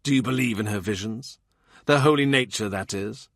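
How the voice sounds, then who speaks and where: A man's voice: fairly low pitch, normal speed, no echo, clean studio sound. A man voicing a shrewd, wry and persuasive royal adviser with a courteous, probing manner in a historical audiobook.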